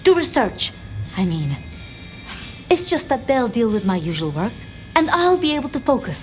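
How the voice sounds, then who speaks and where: A woman playing a timid, bookish vampire researcher in a video game, hesitant and apologetic, stammering over halting phrases. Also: a little high, sing-song, phone-quality sound, soft background music. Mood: anxious, pleading. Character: timid, flustered, bookish female vampire researcher, devoted to her retainers. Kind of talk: video game dramatic dialogue.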